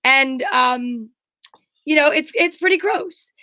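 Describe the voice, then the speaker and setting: A woman's voice: high voice, takes it slowly, pushed and bright, no echo. A middle-aged woman co-hosting a talk show, chatting casually in a blunt, candid, rambling storytelling way. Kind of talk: casual chat.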